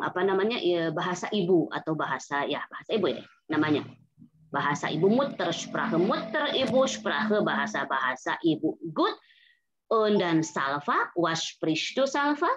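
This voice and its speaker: Online language teacher, woman, low and animated, slowly spelled-out examples, muffled call audio. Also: a little hiss or hum. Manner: slow, drawn-out model sentences for students. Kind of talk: casual online class, mixing Indonesian and German. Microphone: video call.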